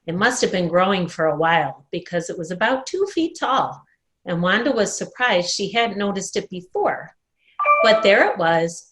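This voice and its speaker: A woman telling a children's story in an expressive read-aloud style, recorded at home in a dining room. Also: lowish voice. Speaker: storyteller at a worship service.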